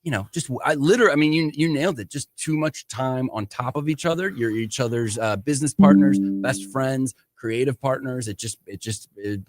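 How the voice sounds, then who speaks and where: A man's voice: medium pitch, fairly quick, hardly any pauses. A man who plays in a band, guesting on a sports radio show, telling his story in a rambling, reflective way with lots of restarts. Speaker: musician guest. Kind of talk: casual radio chat. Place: radio studio.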